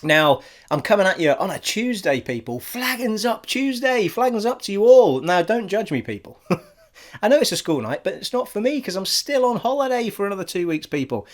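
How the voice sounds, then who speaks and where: A man's voice: sits high, big pitch swings, a little fast. A man from Scotland with a generic English accent, rambling cheerfully through a casual gaming vlog from his living room. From Scottish, raised abroad in army schools. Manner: chatty and rambling, says "people" a lot. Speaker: gaming vlogger. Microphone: studio USB microphone on an arm.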